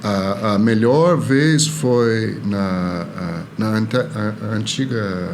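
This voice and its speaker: Middle-aged male guest, Canadian accent, non-native speaker, lowish voice, halting and jovial, noticeable background noise. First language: non-native Portuguese speaker. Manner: halting, jovial, laughs easily. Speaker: podcast guest, orchestral violist. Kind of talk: casual chat. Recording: clearly audible background noise.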